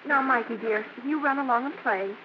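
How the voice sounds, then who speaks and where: Spirited American family woman, acted by a woman, fairly high voice, muffled vintage radio drama. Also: fairly quick, lightly acted. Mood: sweetly impatient. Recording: dull, faintly noisy old radio recording.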